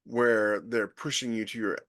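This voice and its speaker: A man's voice: lowish voice, fairly even intonation, unhurried, fairly bright tone, no echo. A man co-hosting a podcast, giving firm, emphatic opinions in casual talk. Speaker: podcast co-host. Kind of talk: casual panel discussion.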